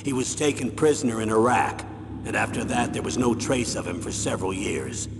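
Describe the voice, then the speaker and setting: A man acting as an aging military colonel in a video game, grave and persuasive, with a gravelly voice and measured, authoritative delivery. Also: animated. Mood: grave, confiding. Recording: echoing hall, vehicle rumble noise.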